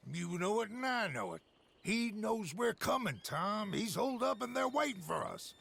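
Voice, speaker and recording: A man's voice: high voice, big pitch swings, normal speed, gravelly, a bit bright, breathy edge, exaggerated voice. A man playing a wary, plain-spoken American gunman in a Western video game, with a dry, grumbling manner. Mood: wary, worried. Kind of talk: video game cutscene acting. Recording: background noise, outdoor ambience.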